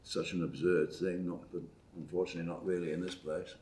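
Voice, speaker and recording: An actor reading an older widowed farmer at a live table read, calm and protective, speaking slowly and steadily, heard from far off in an echoey theatre. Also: male voice, low-pitched, natural voice. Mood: gentle. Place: theatre stage.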